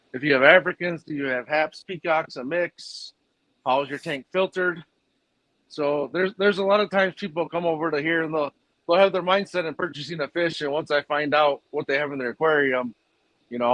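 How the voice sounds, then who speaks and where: Guest: man, fairly high voice, dry close mic, plainspoken and rambling. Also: fairly bright tone, no echo, poor audio. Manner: plainspoken, rambling explanations with fillers. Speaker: fish food business owner and breeder. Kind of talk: casual interview answers.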